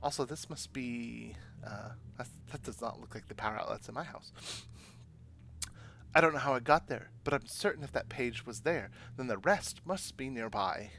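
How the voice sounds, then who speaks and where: A man's voice: mid-range voice, animated, moderate pace, creaky, fairly bright tone, slight background noise. A man streaming a visual novel, reading it out casually with side comments and stumbling over words now and then. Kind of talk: casual let's-play read-aloud with side comments. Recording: music behind the voice.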